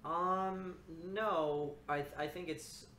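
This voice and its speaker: A man's voice: high-pitched, steady tone, slow, sharp tone, a little hiss or hum. Easygoing man in a roomy echo. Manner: easygoing, laughs often, thinks aloud. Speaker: voice actor and stream host. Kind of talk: casual livestream chat. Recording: roomy echo, faint ambience.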